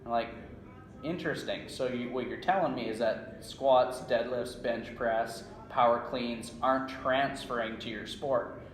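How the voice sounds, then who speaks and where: A man's voice: mid-range voice, steady tone, a little slow. A Canadian-accented man, a coach being interviewed, explaining things conversationally and often checking in with "right?". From small-town Saskatchewan, Canada. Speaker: strength coach, interview guest. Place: indoor room at a conference. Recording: roomy echo, faint background ambience.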